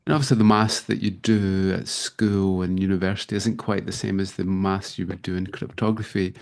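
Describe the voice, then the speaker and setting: A man's voice: deep voice, level tone, moderate pace, gravelly. A middle-aged man hosting a conversational academic interview, talking discursively as he leads into his questions. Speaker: interviewer, podcast host.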